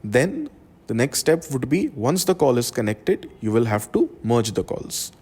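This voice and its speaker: A male presenter narrates an instructional software tutorial. His voice sits at a medium pitch. He explains the process one step at a time, as a guide to the viewer. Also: wildly swooping pitch, measured pace, slightly rough.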